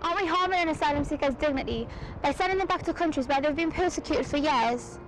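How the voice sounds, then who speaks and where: Young woman reading rhetorical questions, quick and high, music under it. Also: low-quality recording. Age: young adult. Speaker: narrator. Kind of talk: scripted narration.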